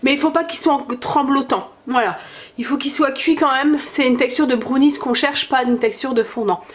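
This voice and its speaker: A woman's voice: high-pitched, normal speed. A woman in her thirties with a stuffy nose from a cold, chatting casually while cooking at home, hesitant with many fillers. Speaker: family vlogger. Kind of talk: casual cooking vlog chat. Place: home kitchen.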